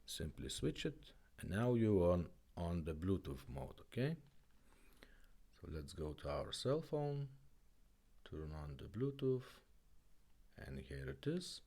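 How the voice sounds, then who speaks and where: A man giving a casual product demonstration in halting, plain English. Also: sits low, sing-song, dark tone.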